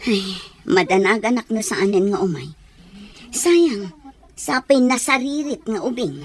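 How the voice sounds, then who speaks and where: A woman's voice: mid-range voice, animated, measured pace, close to an everyday voice. An actress playing a concerned, sympathetic female teacher in an Ilocano radio drama, speaking in a lamenting way. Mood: worried, sympathetic.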